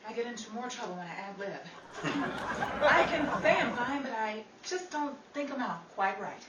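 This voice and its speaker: A woman playing a chatty, quick-witted actress in a playful 1930s radio comedy. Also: a little high, expressive, over a noisy crowd, close to an everyday voice. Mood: wry, chatty. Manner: playful, wisecracking ad-libs. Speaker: host and lead actress. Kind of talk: 1930s radio comedy acting before an audience. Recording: roomy, distant, noisy live audience recording.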